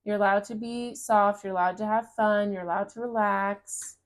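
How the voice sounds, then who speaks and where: A woman talks through a tarot reading in a casual, encouraging way, reassuring the listener as she goes. Her voice has a slight raspiness from talking a long time. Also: medium pitch, fairly even intonation, normal speed. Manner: gentle, encouraging, reads card text aloud. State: voice getting raspy. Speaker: tarot reader.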